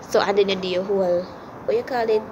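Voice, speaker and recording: A woman's voice: medium pitch, fairly even intonation, quick pace, muffled recording, noisy background. A young woman with a Jamaican accent answers viewer questions in a blunt, chatty way, slipping into patois, filmed on a phone at arm's length. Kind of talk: casual Q&A chat. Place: indoors against a plain wall. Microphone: camera or phone at arm's length.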